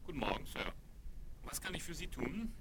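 An actor playing a formal, skeptical official in a German children's radio play, measured and doubtful. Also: male voice, on the high side, sing-song, vocal fry, fairly bright tone, exaggerated voice. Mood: formal, probing. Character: formal, skeptical male flight-contest official. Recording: noisy vinyl radio-play recording.

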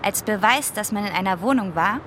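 A woman's voice: mid-range voice, expressive, fairly quick, a bit bright, slight background noise, lightly acted. An actress playing a candid, proud teenage girl in a radio drama, with a direct, youthful way of talking. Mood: challenging.

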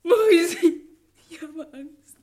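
A woman's voice: extremely high pitch, takes it slowly, dark tone. An actress playing a frightened, pleading woman who speaks hesitantly in a German radio crime drama. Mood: desperate pleading.

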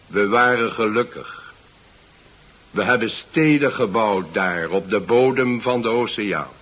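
A calm, patronising Martian overlord in an old science fiction radio play. He speaks slowly and deliberately, with a slightly husky voice, on a dull vintage recording. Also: mid-range voice. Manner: measured, soothing, patronising, speech-making. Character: calm, condescending Martian captor addressing his defeated human prisoner. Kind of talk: 1970s science fiction radio drama acting.

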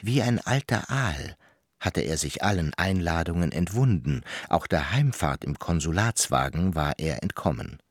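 A man narrating a literary radio play in a husky voice with deliberate, measured phrasing. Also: low-pitched, animated, no room sound, studio quality.

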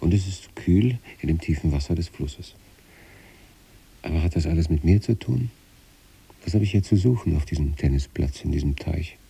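An actor playing a troubled, detached sanatorium patient who recites letters to his wife in a measured, brooding radio play monologue. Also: rumbling low, vocal fry, warm tone, husky, heavily acted. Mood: introspective, estranged.

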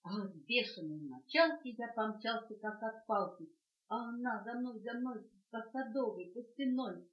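A woman reading a children's poem aloud in a rhythmic, lively sing-song, recorded at home on a cassette tape. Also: a little high, moderate pace, reverberant, highs cut off. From Russia. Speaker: reader of children's poems.

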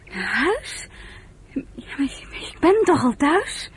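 An actress playing a sensitive young girl, devoted and hesitant, speaking haltingly in a radio drama. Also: high-pitched, big pitch swings, exaggerated voice. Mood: hurt, hesitant. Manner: hesitant, soft-hearted, halting. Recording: noisy old radio play recording.

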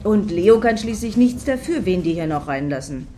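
An actress playing a snarky, disdainful clubgoer in a comic radio play, dry and sarcastic. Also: female voice, fairly low pitch, lively intonation, normal speed, mellow, slight background noise, natural voice. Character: snarky, disdainful female clubgoer waiting in the queue. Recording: music behind the voice.

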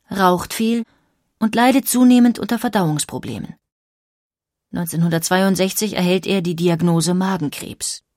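A woman reading scripted biographical narration with measured, deliberate phrasing. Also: fairly low pitch, a little mellow, no room sound, studio quality. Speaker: documentary narrator. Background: music.

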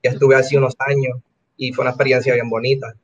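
A man's voice: medium pitch, flat delivery, fast talker, lo-fi sound. A middle-aged man with a Puerto Rican accent, a guest comedian chatting in a casual interview and often checking "me entiendes?". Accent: Puerto Rican Spanish. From Puerto Rico. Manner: chatty, keeps asking "me entiendes?". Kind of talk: casual interview over a call.

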